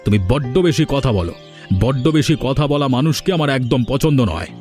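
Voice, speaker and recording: A man's voice: mid-range voice, lively intonation, a little fast, a little mellow, lightly acted. A malicious, greedy village gossip from a cartoon acted by a man. His mid-range voice is irritable and condescending, with faint music behind it. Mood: irritated. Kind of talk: cartoon voice acting.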